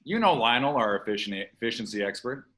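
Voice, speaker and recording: A man plays a genial king of the gods in a comedy script read. He is chummy and casual, with a bright, forceful voice that moves widely in pitch. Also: expressive, quick pace, natural voice. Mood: casual, apologetic. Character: Zeus, genial male boss god, casually boastful.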